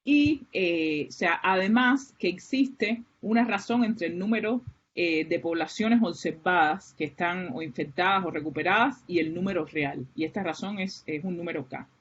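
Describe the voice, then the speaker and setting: A woman's voice: fairly low pitch, moderate pace, slightly rough. A woman from Cuba with a Cuban Spanish accent, giving an academic seminar with many fillers and restarts. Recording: muffled, lo-fi online lecture audio.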